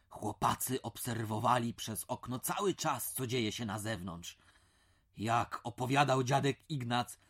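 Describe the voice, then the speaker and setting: Chropawy męski narrator wiejskiej opowieści. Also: male voice, medium pitch, fairly bright tone, husky, full character voice. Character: storytelling narrator retelling a village legend. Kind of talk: scripted radio drama narration.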